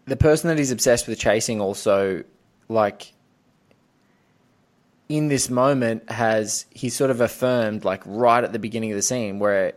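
A man's voice: on the low side, measured pace. A man hosting a casual film discussion, talking in an enthusiastic, analytical and digressive way. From Australia. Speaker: podcast host.

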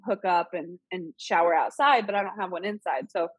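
A woman's voice: fairly low pitch, fairly quick, husky, dry recording. Nasal-sounding woman in her thirties, a bit sick. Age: 30s. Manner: chatty, rambling with many fillers and laughs. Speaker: podcast guest. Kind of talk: casual interview chat.

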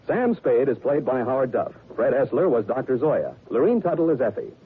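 A vintage American male announcer reads sponsor copy with animated, swinging pitch and a very husky, rough-edged sound. The broadcast is tinny and narrow. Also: a little fast. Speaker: radio announcer. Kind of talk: scripted 1940s radio sponsor announcement. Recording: tinny old radio broadcast, faint music.

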